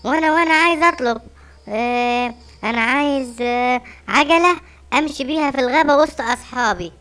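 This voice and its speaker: An eager little Egyptian lion cub. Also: female voice, sits high, brisk, a bit bright, smooth. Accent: Egyptian Arabic. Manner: eager, wide-eyed child.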